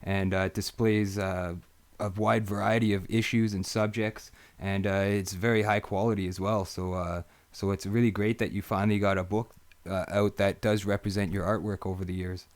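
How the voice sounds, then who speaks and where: A man's voice: on the low side, flat delivery, normal speed. A Canadian man from Northern Ontario hosting a live interview show, agreeable and full of fillers, often saying "for sure". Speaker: host.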